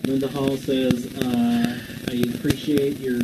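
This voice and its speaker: A man's voice: mid-range voice, flat delivery, measured pace. Hesitant man off-mic in crackly noise. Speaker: off-mic assistant relaying audience questions. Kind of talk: casual reading out of comments.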